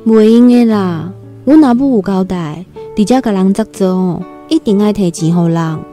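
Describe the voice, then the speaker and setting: Radio drama role for a woman: humble young rural girl, Taiwanese accent, plain-spoken and earnest, warm relaxed timbre. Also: medium pitch, moderate pace, soft background music, close to an everyday voice. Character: timid nineteen-year-old country girl new in Taipei. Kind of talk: Taiwanese radio drama, first-person storytelling and dialogue.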